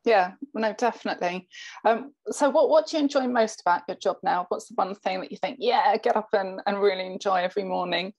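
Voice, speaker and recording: A woman with a mid-pitched voice hosts a business interview over a call. She asks her questions plainly and keeps her guest going with frequent short affirmations. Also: brisk, a little mellow, muffled recording. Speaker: interviewer, host. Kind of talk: conversational interview.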